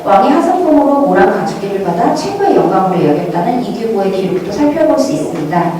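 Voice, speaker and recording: A woman's voice: medium pitch, big pitch swings, fast talker, dark tone. A woman giving a formal prepared academic presentation, recorded from a distance in a very echoey hall with faint noise. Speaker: academic presenter at a museum conference. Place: large echoing indoor hall at a conference.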